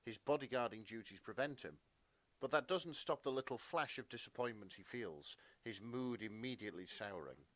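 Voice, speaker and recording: A man's voice: mid-range voice, animated, moderate pace, a bit bright, no echo. A man narrating fan fiction as a podcast co-host, in a melodramatic storytelling style.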